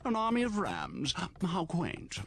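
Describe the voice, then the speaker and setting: An actor playing a haughty medieval enemy commander, disdainful and mocking, in a strategy game. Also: male voice, high voice, huge pitch swings, unhurried, music in the background, full character voice. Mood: sneering.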